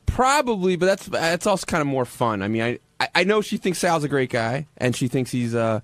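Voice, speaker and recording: Hesitant, higher-pitched man in casual studio talk. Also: very animated, a bit bright, slight background noise. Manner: hesitant, defensive, halting with repeated restarts. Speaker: radio show regular, interviewed guest.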